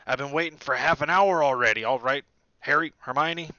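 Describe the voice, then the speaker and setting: A man voicing a big, fierce male groundskeeper with a booming, rustic way of talking. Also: fairly quick, sharp tone, smooth, no room sound, lo-fi sound, lightly acted. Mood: gruff, stern. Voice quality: booming, broad rustic dialect.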